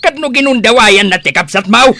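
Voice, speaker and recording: A woman acts out a hot-tempered, protective village father in a radio comedy drama. The voice is forceful and animated, jumping in pitch as he scolds and demands answers. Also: a little fast, slight background noise. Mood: irritated, scolding. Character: gruff, hot-tempered village father guarding his pregnant daughter.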